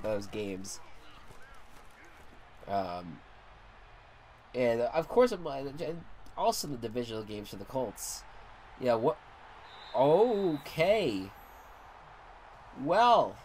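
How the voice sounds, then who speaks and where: A man's voice: mid-range voice, darkish tone, slight background noise, rough recording. Stuffy-nosed young man, rambling. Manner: rambling, swears casually, repeats words. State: congested, stuffy nose. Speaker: gaming commentator. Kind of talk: casual commentary while playing a football video game.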